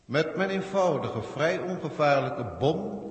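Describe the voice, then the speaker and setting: An actor playing a cold, haughty alien proctor in a 1960s science-fiction radio drama, speaking formally and condescendingly. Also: male voice, on the high side, lively intonation, a little slow. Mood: cold, superior. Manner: formal, pompous, condescending, archaic wording. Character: haughty alien proctor, cold galactic official testing Earth. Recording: echoey hall-like reverb, 1960s radio.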